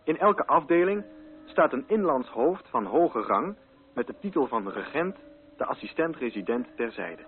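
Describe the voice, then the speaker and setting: A man's voice: medium pitch, very animated, normal speed. A man reading scripted literary narration for a radio play. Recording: narrow-band old radio recording.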